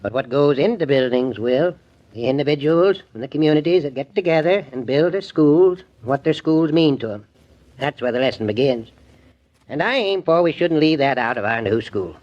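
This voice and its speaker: A man's voice: on the high side, expressive, moderate pace, close to an everyday voice. An actor playing a plainspoken, earnest older small-town man in a 1940s radio drama, with homespun grammar. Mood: solemn. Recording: dull lo-fi old radio broadcast.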